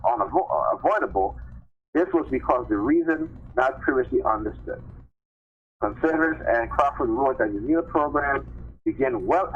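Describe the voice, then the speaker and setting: A man reading news articles aloud on a live stream, haltingly, repeating phrases and adding informal commentary. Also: medium pitch. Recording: tinny phone-line sound with clear background noise.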